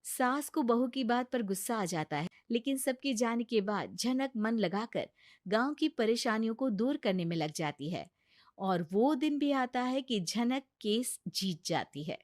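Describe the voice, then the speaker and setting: A woman's voice: on the high side, expressive, measured pace. A woman giving scripted narration for a cartoon story.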